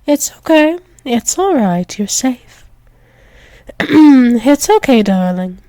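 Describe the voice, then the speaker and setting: A woman's voice: on the high side, very animated, takes it slowly, dark tone, no room sound. A woman playing a dominant, doting female alpha werewolf demon in an intimate ASMR roleplay, coaxing and soothing, often dropping into whispers. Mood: soothing, tender.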